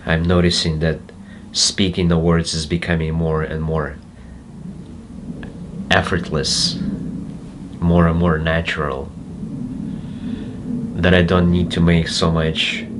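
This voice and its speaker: A man with a Russian accent, a native Russian speaker, talking slowly and reflectively to camera and pausing to search for words. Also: sits low, noisy background. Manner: slow, deliberate, searching for words with pauses. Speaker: self-development video host. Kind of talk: slow reflective monologue to camera.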